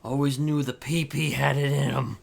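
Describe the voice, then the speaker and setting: A man improvising in a roleplay game, chatting with theatrical, drawn-out reactions. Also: mid-range voice, level tone, gravelly.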